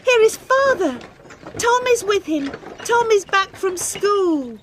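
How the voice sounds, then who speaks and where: A woman reading a storybook voices an emotional nine-year-old girl in a high, childlike, pleading voice. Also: expressive, slow pace, a little hiss or hum, full character voice. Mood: excited. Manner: earnest, pleading.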